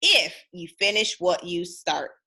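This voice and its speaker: A woman's voice: lowish voice, animated, takes it slowly, pushed and bright, breathy edge, heavily acted. A woman voicing a thoughtful, cautious young boy piglet in a storybook, with a careful, questioning manner. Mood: doubtful.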